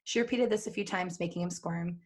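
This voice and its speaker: A woman's voice: fairly low pitch, fairly quick, a little mellow, breathy edge. A woman hosting a podcast, reading fan fiction aloud in a playful, giggly way with chatty asides. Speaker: podcast host.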